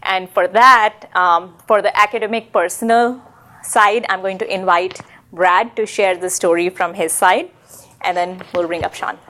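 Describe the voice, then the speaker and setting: Woman rushing thru slides at a work conference, mid pitch voice, lots of um. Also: fairly even intonation, sharp tone. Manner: hurried, clicking through slides, fillers. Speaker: conference presenter. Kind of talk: conference presentation.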